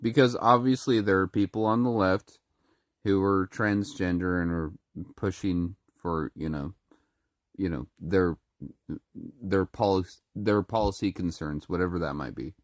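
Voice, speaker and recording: This speaker has a very low, deep voice and has been a little sick, so the throat sounds a bit rough. They ramble to the camera in a halting way, stopping and restarting their sentences, recorded dry and close with no room sound. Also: male voice, no echo. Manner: halting, many restarts and fillers. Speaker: vlogger. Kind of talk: casual monologue to camera.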